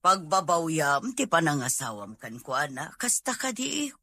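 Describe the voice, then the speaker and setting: A woman playing a concerned older mother yearning for a grandchild in an audio drama, fretful and probing, with a husky voice and an Ilocano accent. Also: sits low, very animated, normal speed, bright, forceful tone, no echo, heavily acted.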